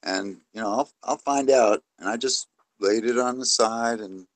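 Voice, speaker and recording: A man's voice: mid-range voice, moderate pace, dry recording. A man from New City, rambling through a casual live-stream discussion with many fillers. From New York City, USA. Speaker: guest.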